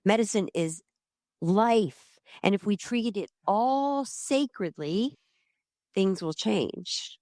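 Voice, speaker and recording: A woman's voice: mid-range voice, takes it slowly, no echo, clean studio sound. Heartfelt woman in her fifties. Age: 50s. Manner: heartfelt, animated, spiritual. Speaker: guest, community founder. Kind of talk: conversational interview.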